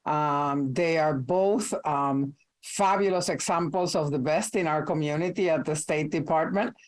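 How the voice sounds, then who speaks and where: An older woman with a Puerto Rican Spanish accent, a native Spanish speaker speaking as an ambassador on a panel. She speaks in a measured, deliberate way with thoughtful pauses, over a video call. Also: low voice. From Puerto Rico. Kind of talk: formal panel remarks.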